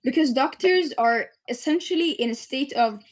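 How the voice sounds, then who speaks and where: A fairly high-voiced woman in her twenties, from the UAE, speaks as a podcast guest. She tells her story earnestly and chattily, using lots of fillers along the way. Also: lively intonation, normal speed. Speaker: guest, medical student and startup founder. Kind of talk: interview, telling her story.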